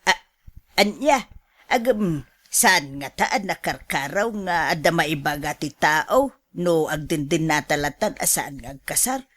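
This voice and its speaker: A woman's voice: low voice, expressive, unhurried, fairly bright tone, heavily acted. A woman with an Ilocano accent playing an older, put-upon sweetheart in a radio drama, drawing out her exclamations and complaints. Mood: coy, teasing.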